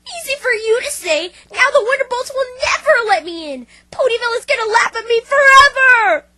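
A woman's voice: very high-pitched, animated, fairly quick, pushed and bright, exaggerated voice. A woman voicing a brash, melodramatic cartoon pony with wounded pride and a blunt manner. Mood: despairing.

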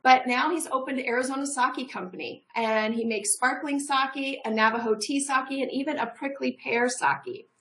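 A woman's voice: high voice, moderate pace, hardly any pauses, fairly bright tone, poor audio. A middle-aged woman from a tourism office, a guest on a TV show, talking upbeat and promotional over a video call from a room at home.

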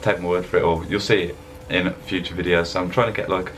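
A young man with a very deep voice chats in a loose, rambling way, full of fillers. He talks into a desk webcam, and a faint background hiss sits under his voice. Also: fairly even intonation, fast, fairly bright tone. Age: young adult. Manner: rambling, laid-back, chatty with fillers. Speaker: fitness vlogger. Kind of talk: casual voice-over vlog. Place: indoor room, voice-over over gym footage.

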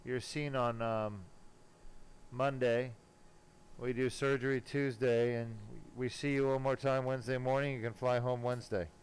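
A man working as a spine surgeon, giving casual, self-assured live commentary while he operates, pausing now and then mid-task. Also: mid-range voice, little pitch movement. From born in Germany, later lived in California. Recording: clearly noisy operating room sound. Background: room noise and ambience.

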